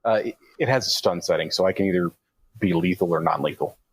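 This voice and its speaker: A man's voice: low-pitched, fast talker, breathy edge. A man playing a tabletop roleplaying game, casually describing moves and explaining rules step by step.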